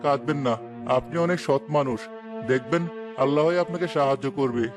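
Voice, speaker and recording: A man's voice: medium pitch, brisk, music in the background, close to an everyday voice. Man playing a kind, soothing villager. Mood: concerned, consoling. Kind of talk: Bangla cartoon story dialogue.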